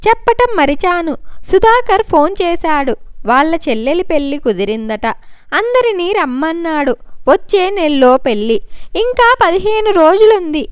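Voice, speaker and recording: A woman voicing a caring, soothing husband who talks gently in an audio story. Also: extremely high pitch, very animated, normal speed, no echo, studio quality, pitched above the performer's own voice. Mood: casual. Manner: gentle, consoling.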